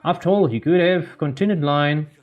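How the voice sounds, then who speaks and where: A man hosting a gaming channel, recapping a game's story in halting non-native English and breaking off for joking asides. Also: a little high, narrow, tinny audio. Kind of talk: game story recap with commentary.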